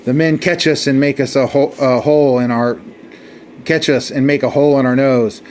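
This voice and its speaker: A man's voice: mid-range voice, fairly even intonation, normal speed, a little mellow, slight background noise, lightly acted. A man reading a story aloud and voicing a proud, contemptuous camel that snorts and sneers. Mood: scornful. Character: scornful sneering male camel.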